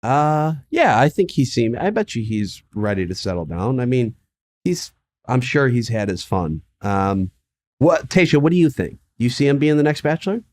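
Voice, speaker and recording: A man's voice: medium pitch, big pitch swings, normal speed, darkish tone, no echo. Stuffed-up man, easygoing podcast host. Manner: easygoing, hesitant with fillers. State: stuffed up from a sinus infection. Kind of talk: casual podcast chat.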